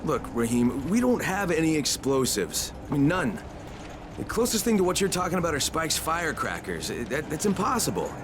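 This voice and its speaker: An actor playing a weary, blunt but caring undercover agent in a video game, speaking naturalistically. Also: male voice, on the high side, sing-song, normal speed, background noise. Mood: concerned.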